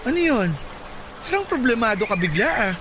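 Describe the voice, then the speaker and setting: High, lively, effeminate Filipino benefactor. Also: male voice, normal speed, background noise. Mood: concerned, obliging. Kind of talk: radio drama acting. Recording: loud music under the voice.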